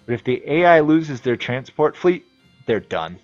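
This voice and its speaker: A man from New England with a New England accent, casually commenting on his strategy game as he plays and thinking out loud with many fillers. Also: mid-range voice, sing-song, moderate pace, dull audio, soft background music. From New England, USA. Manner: thinks aloud, rambling with fillers. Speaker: game streamer. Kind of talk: casual commentary while playing.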